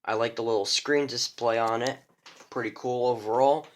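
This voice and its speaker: A man's voice: mid-range voice, steady tone, moderate pace, a little hiss or hum. A young man giving a casual show-and-tell of his radio collection, rambling, with many fillers and restarts.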